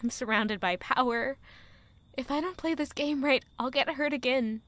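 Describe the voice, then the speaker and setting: A woman's voice: sits high, a bit bright, dry recording. A woman playing an anguished, weary young village girl in a dramatic, emotional audio drama monologue. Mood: bitter, weary. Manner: emotional, slow, deliberate delivery with rhetorical questions.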